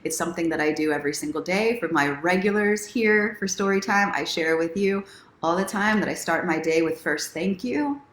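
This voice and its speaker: A woman's voice: fairly low pitch, moderate pace. A woman hosting a casual livestream, encouraging and speaking straight to her viewers like a self-help coach. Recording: roomy, echoing sound.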